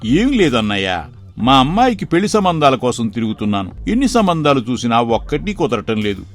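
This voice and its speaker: A man playing a lively-pitched humble village father. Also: a little fast, soft background music, lightly acted. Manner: deferential, earnest. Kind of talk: moral story voice acting.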